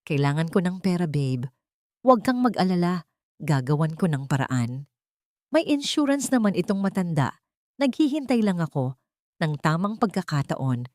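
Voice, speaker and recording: Woman narrator as a cruel, scheming woman, lowish voice. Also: big pitch swings, normal speed, darkish tone, no room sound, clean studio sound. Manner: harsh, contemptuous, commanding. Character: cruel, scheming, abusive younger woman of the house. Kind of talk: storybook villain dialogue.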